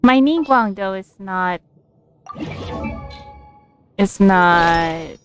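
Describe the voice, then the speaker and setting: A woman's voice: mid-range voice, animated, very slow, faint music behind. A woman giving casual, amused commentary as she streams a game, musing aloud in a hesitant way.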